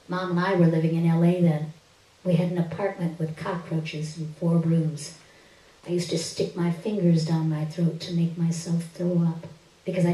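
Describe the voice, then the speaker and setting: A woman reads a literary story aloud on stage in an expressive, emphatic first-person narrating style. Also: lowish voice. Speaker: story reader. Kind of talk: dramatic literary reading aloud. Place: live reading at a stage event, indoors. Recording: roomy echo, distant microphone.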